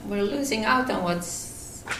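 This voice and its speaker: A woman's voice: medium pitch, vocal fry, recorded from a distance, noisy background. Finnish-accented woman speaking in an echoey room. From Finland. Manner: halting, many fillers and restarts. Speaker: retreat speaker. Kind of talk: devotional talk.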